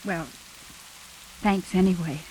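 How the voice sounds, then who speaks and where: An actress playing a guarded, brave female hostage in 1940s radio noir style, speaking earnestly with hesitant pauses. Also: lowish voice, fairly even intonation, mellow, low-quality recording. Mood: guarded, subdued. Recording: hissy 1940s radio recording, rain behind.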